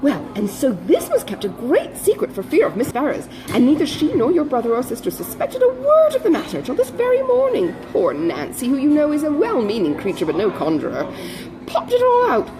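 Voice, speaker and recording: A woman voicing an excitable, good-hearted older society lady who gossips in breathless exclamations, in a classic novel audiobook. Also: sits high, a little fast, music in the background, voice pushed up, rough recording. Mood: astonished, gossiping.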